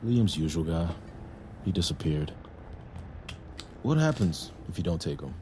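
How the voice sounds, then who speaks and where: A man's voice: deep voice, very animated, a little slow, a little mellow, slight background noise. An actor playing an earnest, smitten younger man in a naturalistic audio drama. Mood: casual, probing. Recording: music clearly under dialogue.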